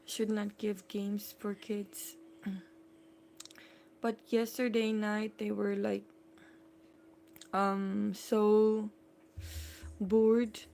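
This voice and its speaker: A woman's voice: mid-range voice, level tone, extremely slow, creaky, pauses often, warm tone, a little hiss or hum. A woman in her thirties from the Philippines, chatting casually with viewers on a live stream from home and answering their comments. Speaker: live-stream host, mother.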